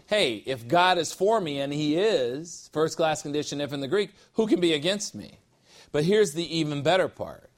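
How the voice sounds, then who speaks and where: A male pastor delivering an expository sermon, leaning hard on important words and drawing them out slowly. His voice rises as he builds toward each point. He also jokes lightly with the congregation. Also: a little high. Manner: emphatic, stretches key words slowly for weight. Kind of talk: expository sermon teaching from scripture.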